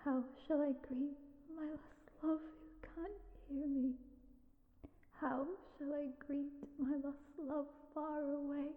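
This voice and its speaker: Mournful gothic singer: high, slow, plaintive, in muffled cavernous reverb. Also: female voice. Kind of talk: slow, plaintive singing in audio drama. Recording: long reverb, muffled lo-fi sound.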